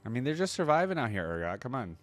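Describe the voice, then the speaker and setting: A man's voice: medium pitch, sing-song, quick pace, over music. A man hosting a reaction video in a casual, curious way, thinking aloud as he reads and comments.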